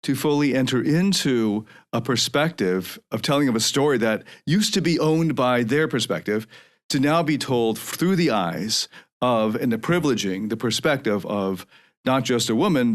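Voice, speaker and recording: A man's voice: medium pitch, moderate pace. Reflective man in his forties, thinking aloud. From North America. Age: 40s. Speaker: podcast host. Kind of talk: solo podcast monologue.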